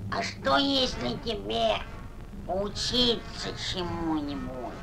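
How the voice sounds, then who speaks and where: Fussy old-cartoon mama toad, gravelly, swoops around, music behind. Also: female voice, medium pitch, sing-song, slow pace, noisy background, exaggerated voice. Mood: fussy, coaxing. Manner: fussy, repetitive, nagging. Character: bossy, doting middle-aged mother toad. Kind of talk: animated fairy-tale character acting.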